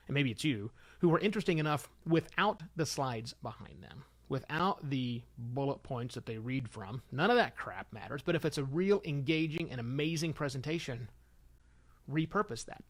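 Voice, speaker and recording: A middle-aged man hosting a solo show, giving informal advice in an animated, emphatic way with conversational asides. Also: fairly high pitch, moderate pace. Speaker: podcast host and consultant.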